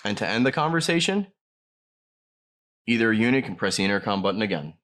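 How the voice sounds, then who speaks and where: A man's voice: on the low side, big pitch swings, fairly quick, fairly bright tone. A man narrating a scripted product tutorial, explaining each step plainly as a demonstrator.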